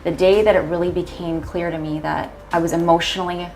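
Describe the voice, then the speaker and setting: A woman in her thirties speaking in a measured, reflective way in a documentary interview. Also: lowish voice, level tone, darkish tone. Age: 30s. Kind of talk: documentary interview, personal testimony. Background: faint music.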